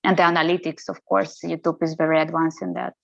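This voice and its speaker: A woman from Ukraine with a Spanish accent answers interview questions conversationally in halting non-native English, searching for words. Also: sits low, flat delivery, vocal fry, no echo, dull audio. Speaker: podcast guest, social media strategist and coach.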